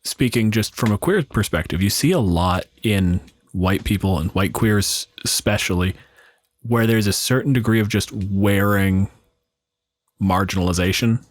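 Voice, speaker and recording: A man's voice: lowish voice, unhurried, no echo. A man on a discussion panel, explaining things in a frank, casual way. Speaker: podcast panelist.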